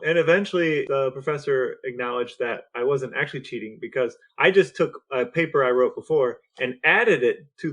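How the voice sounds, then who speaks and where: A man's voice: mid-range voice, a bit bright, highs cut off. A man with an American accent co-hosting a podcast in casual, conversational discussion. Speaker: podcast co-host.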